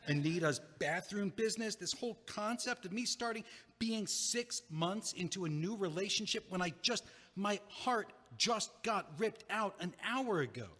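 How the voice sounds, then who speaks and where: A man's voice: sits high, animated, moderate pace, a bit bright. A man playing a heartbroken, stubborn young guy in an audio play, earnest and exasperated, with a hesitant way of talking. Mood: exasperated, wounded. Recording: long echoing hallway reverb.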